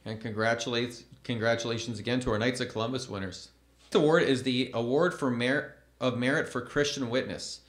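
A man's voice: medium pitch, steady tone, fairly bright tone, roomy sound. Formal man announcing awards at a podium. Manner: measured, formal, reads names slowly. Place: school event stage at a podium. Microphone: podium microphone.

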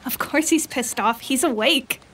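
A high-pitched, casual voice. It is a droll TV camera operator in an audio drama, speaking offhandedly. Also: female voice, moderate pace, a bit bright, a little hiss or hum. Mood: droll, friendly.

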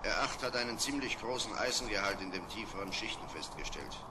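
A man playing a brisk, confident space lieutenant in an old children's radio play. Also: on the low side, lively intonation, noisy background, close to an everyday voice. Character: brisk, self-assured male space boat lieutenant.

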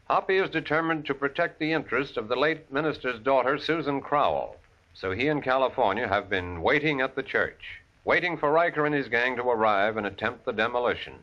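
A man's voice: medium pitch, animated, brisk, a bit bright. A man announcing in a dramatic old-time radio narration style, setting up a story. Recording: dull vintage radio recording with music.

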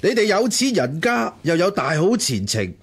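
Animated, grumbling, penniless Cantonese hanger-on. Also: male voice, sits high, normal speed, slightly rough, heavily acted. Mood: indignant, self-pitying. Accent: Hong Kong Cantonese. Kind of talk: Cantonese radio drama acting.

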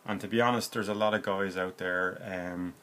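An Irish man in his forties with a low voice explains a hobby project casually. He speaks plainly and hesitantly, working without a script. He is recorded on a phone in a room at home, with faint noise behind him. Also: fairly even intonation, normal speed, pushed and bright. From Ireland. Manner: hesitant, unscripted, plain-spoken. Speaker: hobbyist presenter.

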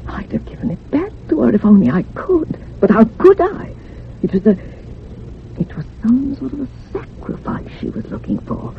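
An older country housekeeper in a radio play, superstitious and full of sorrow. Her speech is rustic and swings in pitch. It comes through a narrow, telephone-like recording with some noise. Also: very animated, moderate pace. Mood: troubled. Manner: rustic old-fashioned turns of phrase, confiding. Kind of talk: old-time radio drama acting. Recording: muffled phone-like radio recording with hum.

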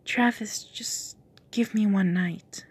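Pushy young temptress for a story reading, voiced by a woman reader, slow, coaxing, half-whispered. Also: mid-range voice, husky, full character voice.

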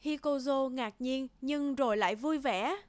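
A woman's voice: sits high, measured pace, a little mellow, no echo. A woman narrating a scripted children's folktale as a storyteller. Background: background music.

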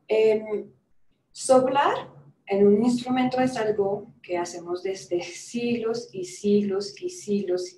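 A woman's voice: on the high side, mellow, a little hiss or hum. A woman in her thirties from France with a French accent, lecturing on a video call, careful and searching for words. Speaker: guest lecturer, trumpeter. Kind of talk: online lecture. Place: room with brass instruments. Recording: roomy video-call audio.

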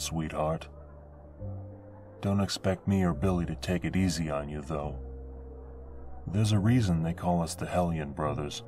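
A man voicing a burly, protective older brother who talks in a gruff, threatening and sarcastic way. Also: extremely low pitch, darkish tone.

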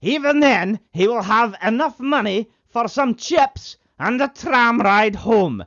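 A man's voice: very high-pitched, expressive, slow pace, a bit bright, full character voice. A man playing a keen, solemn caricature policeman in a parody of old radio drama. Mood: earnest, solemn. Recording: muffled vintage radio sound.

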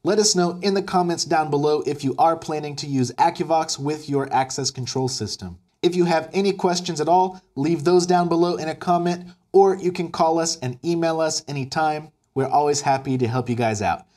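A man's voice: on the high side, moderate pace, few pauses, a little mellow. A man in his thirties with an American accent, explaining step by step as an upbeat instructional video presenter. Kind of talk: instructional tutorial narration. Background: background music.

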